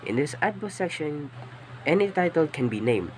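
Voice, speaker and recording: A hoarse young man with a Filipino accent, giving a class project presentation in a loose, rambling way with restarts. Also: a little high, very animated, moderate pace, a little hiss or hum. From Philippines. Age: young adult. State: hoarse from illness. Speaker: student presenter.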